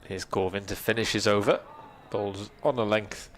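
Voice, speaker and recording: A man whose first language is Welsh, giving calm, measured ball-by-ball cricket commentary. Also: fairly low pitch, a little hiss or hum. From Wales.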